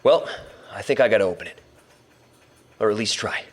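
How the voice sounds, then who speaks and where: Man acting young male captive, lowish voice, halting, anxious yet bold, found-recording audio drama, thinking aloud. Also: natural voice. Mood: wary. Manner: halting, muttering, narrating aloud to himself.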